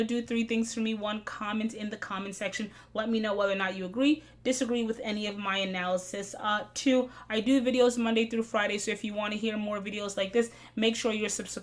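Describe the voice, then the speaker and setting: A middle-aged woman walks through daily stock charts in a brisk, matter-of-fact recap, dropping small fillers as she goes. Her voice sits at an ordinary middle pitch with a slight hoarse edge. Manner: brisk analytical, frequent "uh" fillers. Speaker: stock market video host. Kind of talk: casual market recap over charts.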